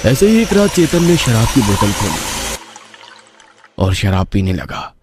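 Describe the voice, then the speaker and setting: A man's voice: on the low side, big pitch swings, measured pace, noisy background. A man reading a scripted horror story as its narrator.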